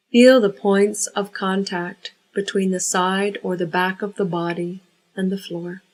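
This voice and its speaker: A woman leading a guided yoga nidra relaxation, giving unhurried, measured instructions. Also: medium pitch.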